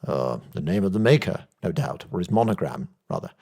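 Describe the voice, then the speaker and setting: A man plays a courteous doctor in a storybook reading. He is modest and measured, a little tentative beside his detective friend. The voice sits low with a husky texture. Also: expressive, warm tone, exaggerated voice. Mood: thoughtful, deferential.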